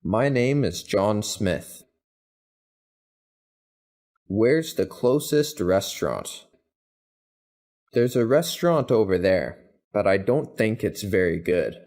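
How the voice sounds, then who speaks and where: A man reading English practice questions and answers, each said twice in an even, deliberate way. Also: fairly low pitch. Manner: even, deliberate, carefully spaced delivery. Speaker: English teacher. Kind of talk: slow scripted phrase drills, each said twice.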